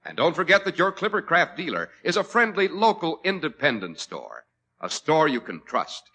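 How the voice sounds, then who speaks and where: An American man announcing in 1940s radio style, with polished, emphatic delivery as host and sponsor pitchman. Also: wildly swooping pitch, moderate pace, pushed and bright, slightly rough.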